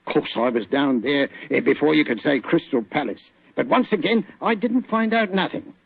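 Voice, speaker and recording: A man playing a chatty working-class London police inspector with a rough Cockney voice, colloquial and deferential, in 1940s radio drama style. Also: fairly high pitch, normal speed. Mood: animated, confiding. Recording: tinny, echoey old radio recording.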